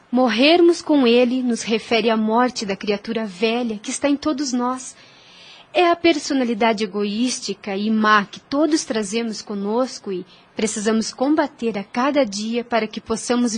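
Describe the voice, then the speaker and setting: Woman acting a high-voiced devout young lady. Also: natural voice. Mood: earnest, devout. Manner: earnest, measured, reverent explaining. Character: gentle, devout young Spanish woman, earnest teacher of scripture. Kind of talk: religious audio drama acting.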